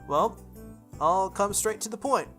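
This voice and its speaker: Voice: mid-range voice, expressive, unhurried, a bit bright, voice pushed up. A game player voicing a frank, tomboyish teenage schoolgirl from a visual novel, blunt and friendly, with music behind. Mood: frank, eager. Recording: music under the voice.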